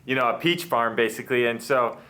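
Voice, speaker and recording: A man's voice: mid-range voice, brisk, bright, forceful tone. Enthusiastic man hosting a casual interview. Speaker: host, interviewer.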